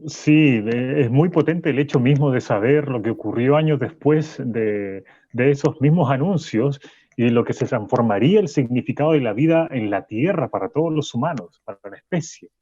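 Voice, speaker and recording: An actor playing a thoughtful professor who speaks in a measured, reflective way on a radio program. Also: male voice, medium pitch, warm tone, no echo, close to an everyday voice. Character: thoughtful man, professor looking back on the past. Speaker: social sciences professor on radio. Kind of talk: radio drama acting. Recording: dull, lo-fi dry recording.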